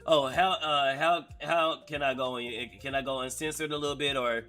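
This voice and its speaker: Hoarse, excitable male streamer. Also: medium pitch, moderate pace. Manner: slangy, excitable storyteller. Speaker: streamer host. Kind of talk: casual chat on a live stream.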